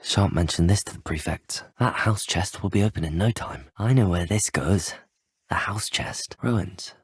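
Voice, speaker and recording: A man's voice: low-pitched, normal speed, slightly rough, no echo, clean studio sound, exaggerated voice. A man voicing a polite, curious young student wizard in a video game, well-spoken and earnest. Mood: intrigued. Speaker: player character in a video game.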